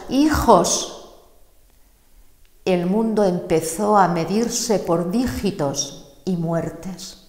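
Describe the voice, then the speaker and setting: An older woman, a guest poet, reading her verse in a declamatory way with emphatic pauses in a small TV studio. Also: fairly low pitch, a little mellow. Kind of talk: dramatic poetry reading, then studio chat. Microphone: lapel. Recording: echoey studio with long reverb.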